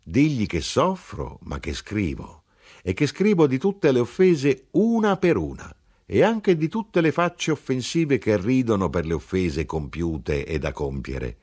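A man's voice: fairly low pitch, sing-song, unhurried, a little mellow, breathy edge, pitched above the performer's own voice, full character voice. A man voicing a small, sorrowful old man who speaks solemnly, as if preaching. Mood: mournful solemn.

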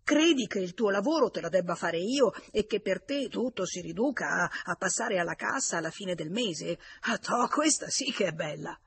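A woman's voice: on the low side, steady tone, moderate pace, slight background noise, lightly acted. A woman voicing an irritable, brusque man scolding a friend in an audiobook. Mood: exasperated, sarcastic. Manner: brusque, exasperated, emphatic.

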